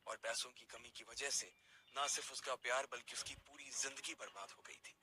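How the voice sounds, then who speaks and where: A man's voice: mid-range voice, moderate pace, sharp tone. A man narrating a scripted story as its narrator.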